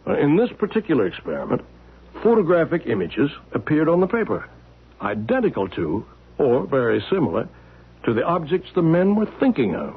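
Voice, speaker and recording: A calm, mysterious man in an old radio drama, explaining patiently in a husky voice with lively pitch. The audio is narrow and phone-like, like an old broadcast. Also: brisk, full character voice. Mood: measured. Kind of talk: 1970s radio drama acting.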